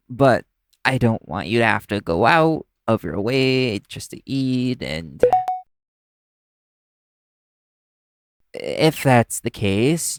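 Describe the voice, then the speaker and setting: A man acting in an audio roleplay as a nervous, caring young vampire's human partner, speaking in a halting, stammering way. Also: mid-range voice. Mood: flustered, hesitant.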